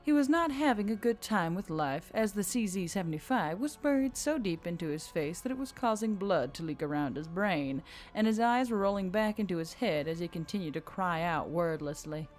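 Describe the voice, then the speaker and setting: A woman's voice: lowish voice, lively intonation, normal speed, a little mellow, faint music behind. A woman reading scripted action-fantasy fiction as an audiobook narrator.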